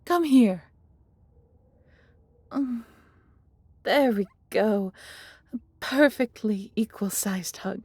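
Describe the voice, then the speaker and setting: A woman voicing an affectionate, fiercely protective female dragon in a fantasy audio roleplay, with soft husky breathiness and theatrical, intimate, formal storybook phrasing. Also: on the high side, extremely slow, pauses often, no room sound, exaggerated voice. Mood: amazed, delighted.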